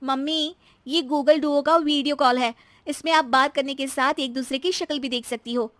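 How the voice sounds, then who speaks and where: A woman's voice: high-pitched, fairly even intonation, normal speed, fairly bright tone, dry recording, clean studio sound, voice pushed up. A woman voicing a harried, emphatic young daughter-in-law in a cartoon story. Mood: weary explaining. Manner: complaining, emphatic.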